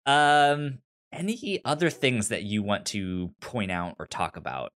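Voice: mid-range voice, lively intonation, a little slow, a bit bright. A podcast host of unclear sex chatting casually about television, often stumbling and repeating words while explaining. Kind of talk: casual TV show discussion.

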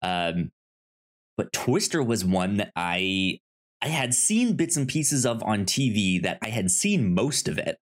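Halting, casual male podcast co-host. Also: on the low side, sing-song. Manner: halting, repeats words and false starts. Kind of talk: casual movie podcast chat.

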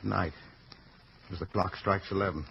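An actor playing a haunted mental wizard who foresees doom, speaking portentously in an old-time radio drama. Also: male voice, low voice, fairly even intonation, creaky. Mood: entranced dread. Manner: slow, halting, portentous delivery. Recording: dull vintage radio recording, loud music.

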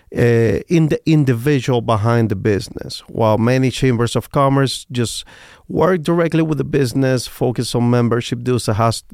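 Earnest Cuban-accented man, smooth voice. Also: mid-range voice, a little mellow, dry recording, studio quality. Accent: Cuban Spanish. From Cuba. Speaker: guest. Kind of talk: interview talk.

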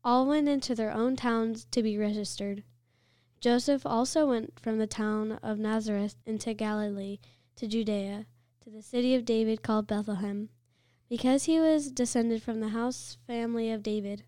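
A woman reading a scripture passage aloud in a measured, clear way. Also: fairly high pitch, fairly even intonation, dark tone, no echo, clean studio sound. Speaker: scripture reader.

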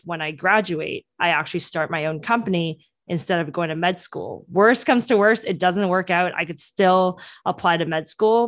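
A woman's voice: fairly low pitch, fairly bright tone, no echo. A young woman in her twenties whose first language is Cantonese, telling her story eagerly in a podcast interview with many restarts. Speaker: entrepreneur guest.

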